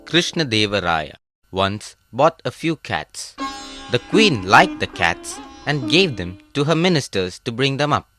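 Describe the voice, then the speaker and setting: Man, kids' story narrator, bright tone, lively melody, steady and clear. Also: medium pitch, a little slow, faint music behind. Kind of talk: scripted children's story narration.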